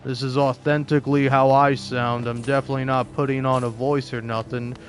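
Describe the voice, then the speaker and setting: A man mocking a young man who fakes a deep, manly voice and boasts about it. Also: level tone, brisk, natural voice. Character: mocked young man faking a deep macho voice.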